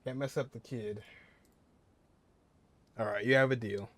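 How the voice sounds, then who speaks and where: A man's voice: medium pitch, fairly even intonation, unhurried, fairly bright tone, no room sound. Man giving casual game commentary. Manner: musing aloud to himself. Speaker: gaming streamer. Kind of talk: casual let's-play commentary.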